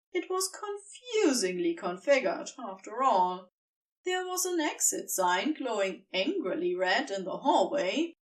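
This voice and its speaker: A woman narrating scripted fiction as an audiobook reader, with expressive storytelling and deliberate phrasing. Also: fairly high pitch, recorded from a distance, rough recording.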